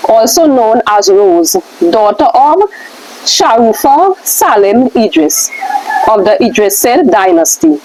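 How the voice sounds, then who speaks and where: Higher-pitched woman reading aloud, faint background noise. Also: sing-song, takes it slowly, darkish tone, low-quality recording. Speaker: reader in a question-and-answer scroll reading.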